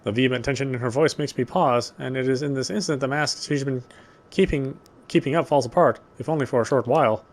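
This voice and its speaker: A man reading a visual novel's narration aloud in a measured, literary way, with small stumbles over the words. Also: mid-range voice. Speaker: let's player reading game text.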